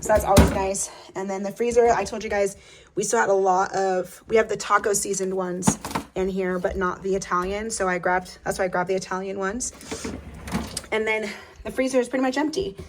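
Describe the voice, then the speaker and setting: A young woman vlogging casually in her home kitchen, chatty and upbeat with a slightly hoarse voice. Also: medium pitch, fairly even intonation, brisk, noisy background. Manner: chatty, upbeat, lots of fillers. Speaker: family vlogger. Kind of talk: casual chat to camera.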